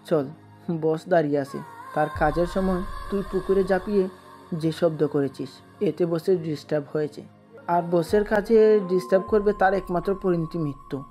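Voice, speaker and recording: A man's voice: high-pitched, fairly even intonation, normal speed, warm tone, faint music behind, lightly acted. A man playing an aggressive masked henchman in an audio drama, bullying and menacing. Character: threatening masked male gangster henchman, aggressive.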